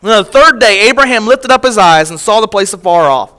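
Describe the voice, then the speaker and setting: A man preaching from a church pulpit, his voice sitting high for a man. He tells a personal story like a conversation, circling back and restarting his phrases as he goes. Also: lively intonation, fairly quick, pushed and bright, poor audio. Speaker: missionary preacher. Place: church sanctuary.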